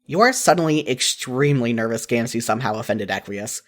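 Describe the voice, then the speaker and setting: A man narrating a scripted story in the second person with an expressive storytelling delivery. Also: medium pitch, fairly quick.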